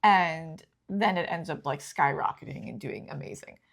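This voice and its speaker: A young woman hosting a casual news chat, chatty and lively, quick to laugh. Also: low voice, vocal fry. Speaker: host.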